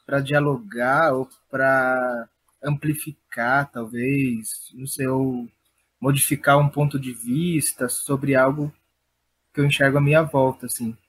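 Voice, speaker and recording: A man's voice: mid-range voice, fairly even intonation, unhurried, fairly bright tone, husky. A man with a São Paulo Brazilian accent, speaking reflectively and a little hesitantly as an interviewed theatre artist. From Perus, São Paulo, Brazil. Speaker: interviewed playwright and theatre director.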